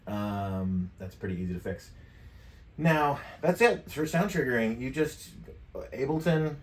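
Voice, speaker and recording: Low-voiced man, roomy sound. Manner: offhand, thinking aloud, mildly profane. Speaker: tutorial presenter. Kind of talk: casual screen-share software tutorial. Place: indoor home studio desk. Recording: roomy, distant mic, background noise.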